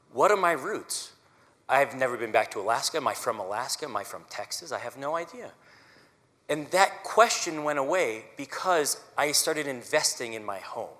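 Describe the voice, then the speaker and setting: Man in his 30s, conductor giving a community talk. Earnest and chatty, bright, clear tone, long hall reverb. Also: medium pitch. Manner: earnest, conversational, frequent "right?" asides. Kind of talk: personal public talk. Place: auditorium stage.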